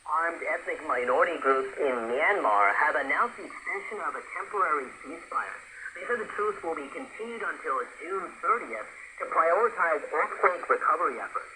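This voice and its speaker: A man reading scripted international news as an anchor, in a measured, formal and clearly enunciated delivery. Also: on the high side, lively intonation. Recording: shortwave radio broadcast with loud static noise.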